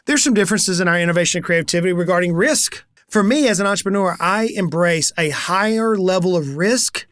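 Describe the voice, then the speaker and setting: High-pitched guy in his 40s, lively storyteller, dry close sound. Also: a little fast, dry recording. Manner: energetic storyteller, acts out quoted conversations. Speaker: business coach and channel host. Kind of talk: animated solo talk to camera.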